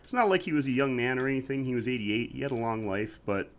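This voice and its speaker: A man's voice: medium pitch, fast. Congested man chatting on a podcast. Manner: relaxed, talkative, reviews with prepared facts. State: congested, slightly scratchy throat. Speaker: podcast co-host. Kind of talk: casual podcast chat.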